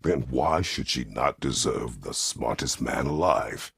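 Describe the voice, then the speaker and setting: An actor playing a stern, weary, middle-aged Greek war god in a video game, speaking tersely and formally with a gravelly, husky voice. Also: male voice, very deep voice, animated, measured pace, a bit bright, no room sound, studio quality, exaggerated voice. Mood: stern, brooding. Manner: terse, grave, formal phrasing without contractions. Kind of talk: dramatic video game voice acting.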